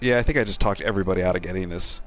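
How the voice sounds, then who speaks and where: A man's voice: low voice, racing. A middle-aged man, a game streamer and co-host, chats casually while playing, in a rambling, wry, self-deprecating way.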